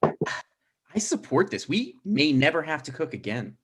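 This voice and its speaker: A man's voice: a little high, big pitch swings, unhurried, fairly bright tone, husky, exaggerated voice. Man as wry young candidate, very varied intonation. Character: dry, hesitant young male political candidate and son. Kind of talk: comedy script table read.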